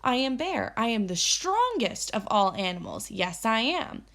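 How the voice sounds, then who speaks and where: Lady doing a big braggy bear from a kids storybook, loud n stubborn, slow proud chanting, pitched up. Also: sing-song, full character voice. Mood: boastful. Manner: bragging, emphatic, chanting declarations. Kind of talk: children's storybook read-aloud.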